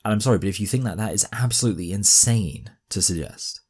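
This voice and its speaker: A very low-pitched man hosts a commentary, pressing his points hard. His speech is full of "uh"s, "like"s and restarts. A slight cough sits in his voice. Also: quick pace, a little mellow, slightly rough. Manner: emphatic, many fillers and restarts. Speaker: commentary host. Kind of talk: argumentative commentary on a video.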